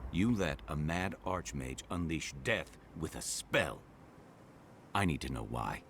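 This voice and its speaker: A man's voice: rumbling low, expressive, measured pace, fairly bright tone, a little hiss or hum, full character voice. A man acting a principled, troubled companion in a game cutscene, earnest, blunt and confrontational. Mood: challenging, troubled.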